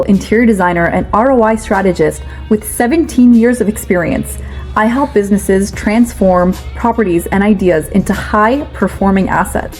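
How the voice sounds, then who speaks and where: A woman's voice: mid-range voice, darkish tone. A woman host reading a scripted podcast introduction in a confident, polished way.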